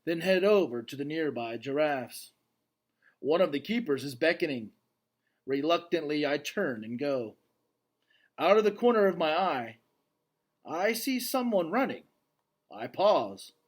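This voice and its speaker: A man narrates a children's story in an expressive storytelling style. His voice is a little high for a male, and he takes his time, leaving dramatic pauses. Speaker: read-aloud narrator. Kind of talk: storytelling read aloud with casual asides to young listeners.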